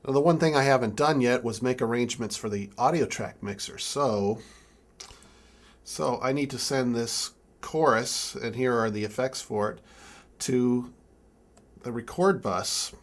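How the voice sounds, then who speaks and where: A young adult man giving an informal hands-on tutorial, speaking haltingly as he thinks aloud while working. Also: mid-range voice. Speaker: music keyboard tutorial presenter. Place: indoor room with draped backdrop.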